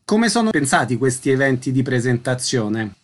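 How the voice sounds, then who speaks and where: A man's voice: mid-range voice, big pitch swings, normal speed. A man hosting a radio show in a concise, orderly, scripted style. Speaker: radio host. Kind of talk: scripted radio interviewing and presenting.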